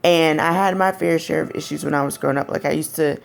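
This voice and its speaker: A young woman with a raspy, deep voice, speaking candidly in a personal vlog. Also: fairly even intonation, quick pace. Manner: candid, frank and conversational. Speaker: vlogger. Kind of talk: personal storytelling to camera.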